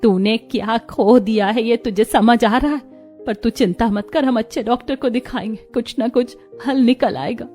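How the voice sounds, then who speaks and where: A woman's voice: a little high, normal speed, dark tone, soft background music, natural voice. A woman voicing a stern, scolding older mother-in-law in a dramatic, reproachful cartoon family drama. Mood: softening.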